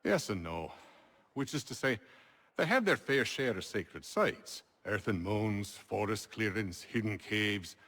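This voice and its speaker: Colonial landowner, fairly low voice, genial and wry, an articulate storyteller for a period video game. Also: male voice, expressive, measured pace, a bit bright, exaggerated voice. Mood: reassuring. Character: genial, well-spoken Irish-born male colonial landowner and merchant. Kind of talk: video game cutscene acting.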